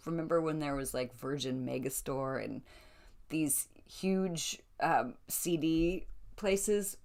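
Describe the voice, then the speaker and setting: A woman with an American accent chatting casually to an online audience, telling stories in an animated way and acting out the remarks she quotes. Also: deep voice. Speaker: belly dancer telling stories of her career.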